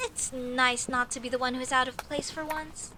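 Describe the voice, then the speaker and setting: A woman's voice: high voice, unhurried, heavy background noise. A woman playing an earnest, proud young female elf mage who speaks expressively and intimately to the listener in an audio roleplay. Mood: playful, proud. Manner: expressive, chatty, mock-indignant at times, softening to sincere.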